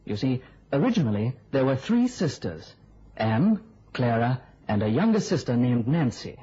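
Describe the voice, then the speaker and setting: Muffled old radio play: keen young reporter, breezy storyteller, very husky. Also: male voice, mid-range voice, big pitch swings, moderate pace, warm tone. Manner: chatty, storytelling, slightly theatrical. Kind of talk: mid-century radio drama acting.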